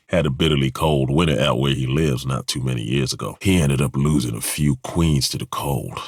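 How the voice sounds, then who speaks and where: An actor playing a gruff, blunt male ex-temple guard in a game, speaking with a very deep, commanding voice and a wry, casual way of swearing. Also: no room sound, clean studio sound, heavily acted. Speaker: companion in a game. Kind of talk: game voice acting. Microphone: studio microphone.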